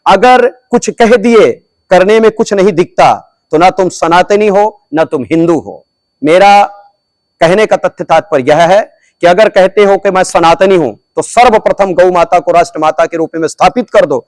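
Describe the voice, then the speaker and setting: High-voiced man preaching to reporters. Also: normal speed, dry recording, poor audio. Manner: preachy, emphatic, repeats phrases for stress. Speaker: religious leader. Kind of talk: press conference answers.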